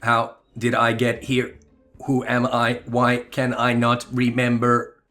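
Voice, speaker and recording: A man voicing a timid, confused young boy with lost memory in a video game, halting and repeating himself. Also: mid-range voice, monotone, sharp tone, faint music behind. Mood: pleading. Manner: hesitant, repeating words.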